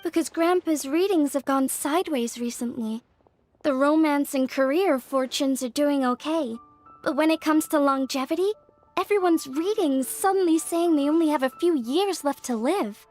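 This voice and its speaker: A woman's voice: sits high, normal speed, a little mellow, lightly acted. A woman as an earnest young craftswoman. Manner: earnest, explanatory, slightly modest. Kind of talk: video game voice acting. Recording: game cutscene with faint music.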